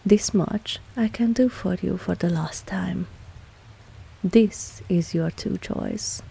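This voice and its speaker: Woman as a breathy, husky vampire mistress. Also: lowish voice, dark tone, exaggerated voice. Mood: resigned, cool. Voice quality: breathy, husky, often dropping to a whisper. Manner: slow, deliberate, commanding, teasing. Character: dominant, possessive vampire mistress, cool and seductive. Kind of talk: intimate ASMR audio roleplay.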